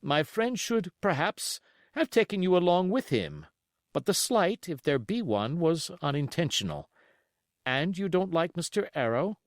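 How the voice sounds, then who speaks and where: A man voicing a calm, authoritative gentleman doctor in an audiobook, courteous and probing in manner. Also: a little high, measured pace, dry recording, studio quality, voice pushed up. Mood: reasonable, probing. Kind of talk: audiobook character acting.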